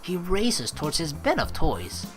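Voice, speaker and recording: A woman gives chatty, playful commentary on a game. Her pitch swings widely as she rambles and reads aloud. Game music plays underneath. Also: sing-song, unhurried. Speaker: let's player reading and reacting to a game. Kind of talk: casual gameplay commentary.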